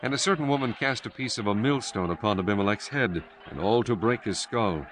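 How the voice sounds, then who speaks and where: A man narrating a dramatized Bible audiobook in measured, solemn storytelling with archaic diction. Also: lowish voice, a bit bright, slight background noise. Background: prominent music.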